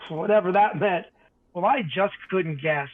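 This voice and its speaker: A man reading a rhyming bedtime story aloud in an expressive, dramatic way. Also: high voice, moderate pace. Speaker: storybook reader. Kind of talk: theatrical bedtime story narration in rhyme. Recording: tinny, phone-like narrow band.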